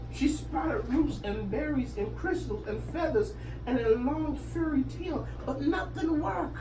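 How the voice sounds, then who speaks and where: Woman read-aloud storyteller, very lively intonation, recorded at a distance in an echoey, noisy room. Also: fairly high pitch. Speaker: storyteller reading aloud to children. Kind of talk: expressive interactive picture-book read-aloud. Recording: roomy, noisy, distant microphone.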